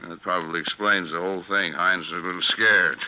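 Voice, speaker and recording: A low, very bright and forceful voice of a middle-aged American lawyer, avuncular and earnest, reassuring as a kindly boss. It is 1940s radio drama, muffled by the old recording. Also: male voice, fairly even intonation, fairly quick. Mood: fatherly, reassuring. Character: fatherly, reassuring older male law boss. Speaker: defense attorney, head of a law office.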